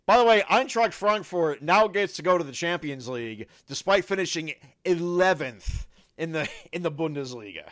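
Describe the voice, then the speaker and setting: Raspy man with a cold, dry sound. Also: on the high side, lively intonation, normal speed, fairly bright tone, no room sound. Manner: hesitant, repeats words, laughs often. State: sick with a cold. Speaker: podcast co-host. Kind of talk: casual football chat.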